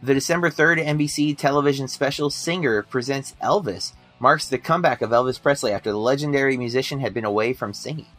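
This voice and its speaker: A man co-hosting a casual film podcast, relaxed and conversational, with frequent ums and you-knows. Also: mid-range voice, fast talker, few pauses, soft background music. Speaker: podcast co-host.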